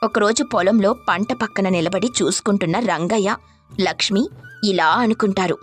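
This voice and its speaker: A woman's voice: mid-range voice, moderate pace, slightly rough. A woman reading scripted narration for a moral story. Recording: music under the voice.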